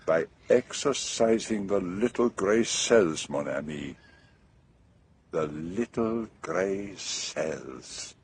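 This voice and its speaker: An actor playing a fussy, shrewd older detective with a Belgian French accent in a radio drama, speaking precisely and deliberately. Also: male voice, low voice, lively intonation, breathy edge, heavily acted. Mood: self-satisfied.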